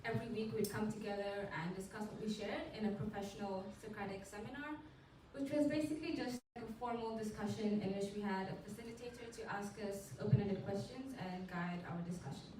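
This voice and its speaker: A woman's voice: medium pitch, brisk. A teenage girl from India and Zambia giving a student presentation at a school showcase, chatty, with fillers and self-corrections. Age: teens. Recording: echoey room, mic far off, noisy.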